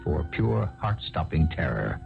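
Mystery anthology host, male, gravelly and deliberate, muffled archival broadcast with music behind. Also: very deep voice, very animated. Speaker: host of radio mystery series. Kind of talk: scripted 1970s radio narration.

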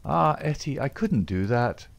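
A man reading aloud, voicing a smooth-talking Irishman in a secret society who reassures his sweetheart. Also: medium pitch, lively intonation, a little slow, voice pushed up. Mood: ardent. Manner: coaxing, reassuring, soft-spoken.

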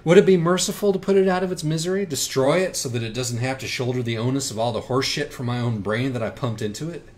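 A man's voice: mid-range voice, fast, few pauses, darkish tone, natural voice. A man playing a cerebral, self-critical young writer with a wry, hesitant manner in audiobook dialogue. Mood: troubled, self-critical.

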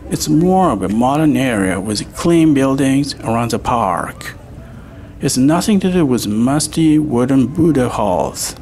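A man's voice: medium pitch, expressive, a little slow, darkish tone, slight background noise. A man hosting a travel vlog, speaking as a guide describing places to visit.

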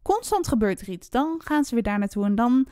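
A woman's voice: a little high, very animated, fairly quick, warm tone, no room sound, clean studio sound. A woman co-hosting a podcast in a casual book chat. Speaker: podcast co-host.

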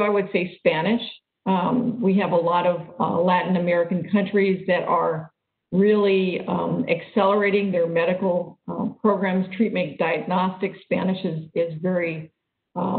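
A woman lecturer answering questions on an online call, speaking haltingly with many fillers and restarts. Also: on the low side. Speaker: guest lecturer. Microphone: video call. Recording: echoey, phone-like call audio.

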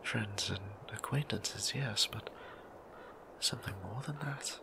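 A man voicing a shy, gentle, centuries-old vampire priest in an intimate ASMR roleplay, breathy and halting, with genteel old-fashioned wording and a flustered stammer. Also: noisy background, exaggerated voice. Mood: embarrassed, earnest. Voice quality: breathy, whispery, low.

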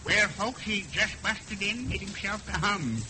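A man playing a folksy, excitable small-town officer in a comic 1930s radio sketch. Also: high-pitched, measured pace, heavily acted. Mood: earnest, comic. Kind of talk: 1930s radio comedy acting. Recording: noisy old radio recording.

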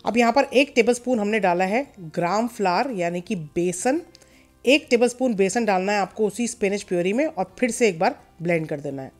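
Woman, mid-pitched, calm cooking-show voice-over with light music behind. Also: a little fast. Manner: calm step-by-step instruction, mixing in English words. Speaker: cooking channel host. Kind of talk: recipe voice-over.

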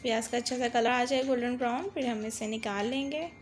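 High-voiced woman narrating a home recipe. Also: fairly quick, faint music behind. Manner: instructive, repeats "dekhiye" to guide viewers. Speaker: cooking channel host. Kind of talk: step-by-step cooking voice-over.

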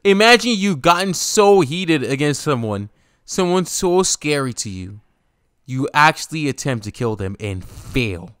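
Young male reaction host, smooth and clear, casual, excitable, slangy. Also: fairly high pitch, a little slow, no room sound, studio quality.

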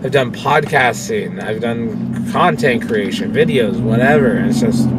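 A young man talking casually to camera while he drives. He rambles candidly and swears freely. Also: medium pitch. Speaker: vlogger and pro wrestler. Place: inside a car, driving. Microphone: phone camera on dashboard. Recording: car interior with road noise. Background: road and engine noise.

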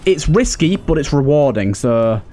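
A man's voice: fairly high pitch, big pitch swings, moderate pace, warm tone, faint music behind. A man giving casual let's play commentary, thinking aloud and repeating phrases for emphasis. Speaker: gaming video host.

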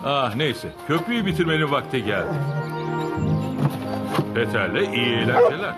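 An actor playing a gentle old grandfather in an animated children's series, kindly and reassuring. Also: mid-range voice, moderate pace, close to an everyday voice. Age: older. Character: gentle old grandfather of a little mountain girl. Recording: music behind the voice.

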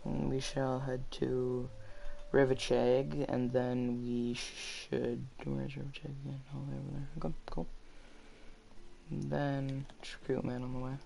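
A man with a low voice, chatting casually as he plays and reads game dialogue aloud. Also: gravelly, darkish tone, slight background noise, rough recording. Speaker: gaming streamer. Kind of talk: casual let's-play commentary. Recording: game music underneath.

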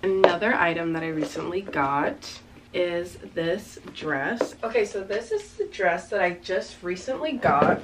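A young woman in her twenties chatting casually as she tries on outfits in her bedroom, a little raspy from congestion, rambling with lots of fillers. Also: lowish voice, animated, measured pace. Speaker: fashion vlogger. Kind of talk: casual try-on chat. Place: small bedroom with backdrop, indoors. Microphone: camera mic from a few meters away. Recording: slightly distant camera mic, faint room noise.

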